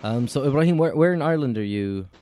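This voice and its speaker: A man hosting a podcast interview, talking in a polite, measured way with light joking. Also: mid-range voice, expressive, warm tone. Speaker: podcast host. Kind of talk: casual interview chat.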